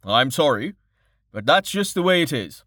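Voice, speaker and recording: A man's voice: fairly high pitch, lively intonation, takes it slowly, fairly bright tone, no room sound, clean studio sound, pitched above the performer's own voice. A man voicing a cheerful, wily merchant father in an audiobook, fond but firm, with a coaxing showman's manner.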